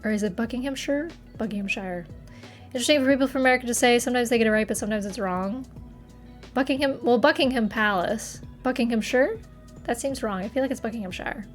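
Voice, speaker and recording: Young woman streaming from her apartment, kinda high voice, chatty and giggly, bit of music behind. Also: fast. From Massachusetts. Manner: chatty, giggly, trails off and restarts. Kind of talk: casual chat reading live chat.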